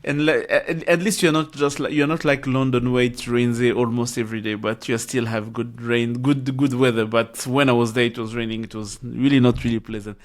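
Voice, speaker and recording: A man in a mid-pitched voice hosts a reflective talk with a colleague. He speaks haltingly, breaking off and restarting his sentences as he hunts for words. His tone is conversational and thoughtful. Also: fairly even intonation. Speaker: host.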